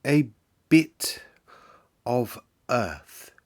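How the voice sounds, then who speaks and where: A man reading aloud and voicing a gloomy, tired gentleman who speaks slowly and gives clipped orders. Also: mid-range voice, big pitch swings, pitched above the performer's own voice. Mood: startled, wondering. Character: gloomy, weary middle-aged gentleman of a manor.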